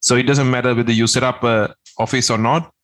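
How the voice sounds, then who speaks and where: Sri guy, tax agent, explains things step by step, mid-pitched. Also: fairly even intonation, a little fast, fairly bright tone. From Sri Lanka. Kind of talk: interview answers.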